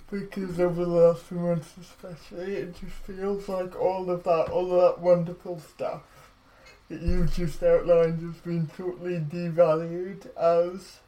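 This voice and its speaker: A man's voice: high voice, warm tone, rough recording. A man hosting an interview podcast in a conversational style. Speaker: podcast host.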